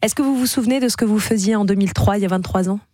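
A woman co-hosting a radio talk show, asking guests playful, brisk questions. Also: medium pitch, dark tone, no echo, clean studio sound. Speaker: radio co-host.

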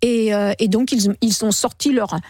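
A woman in her sixties, a singer guest on a radio show, telling stories in a lively, chatty way. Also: a little high, normal speed, no room sound, clean studio sound. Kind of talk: casual radio interview. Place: radio studio. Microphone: studio microphone.

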